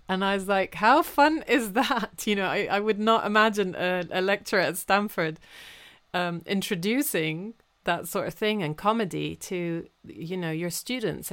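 Smooth-voiced woman interviewer. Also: lowish voice. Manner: friendly, animated, quick to laugh. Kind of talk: conversational interview.

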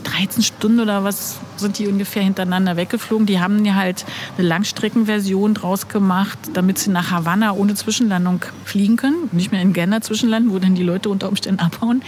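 Chatty woman in her fifties, mid-pitched, light background hiss; a storyteller guest. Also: a little mellow. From Berlin. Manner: chatty storyteller with many fillers. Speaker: guest, former flight attendant. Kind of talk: casual interview.

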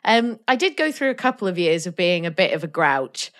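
A woman's voice: fairly low pitch, big pitch swings, brisk, a bit bright. A woman from Wales, co-hosting a podcast in chatty, self-mocking talk and laughing often. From North Wales. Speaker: podcast co-host. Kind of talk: casual podcast chat.

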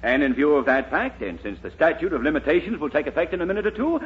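A man's voice: on the high side, big pitch swings, fast. An actor playing an earnest, flustered lawyer in a 1940s radio comedy, formal and hesitant in his pleading. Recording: muffled 1940s radio recording, heavy noise.

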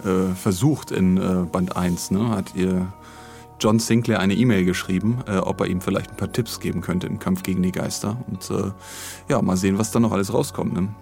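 A man hosting a podcast in casual, informal chat with a halting delivery. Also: sits low, steady tone, creaky, a little mellow, soft background music. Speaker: podcast host.

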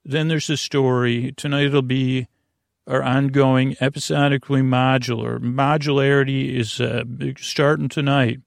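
A man hosting a sleep podcast in a long-winded, halting monologue that keeps drifting off topic, with a gravelly, creaky voice. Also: medium pitch, little pitch movement, no echo, clean studio sound. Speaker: podcast host.

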